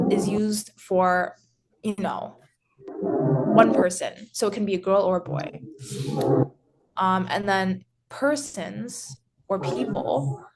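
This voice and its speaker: A woman teaching French grammar in English, explaining slowly with many pauses, restarts and filler words. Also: mid-range voice. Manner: hesitant, frequent restarts and "so". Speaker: French tutor.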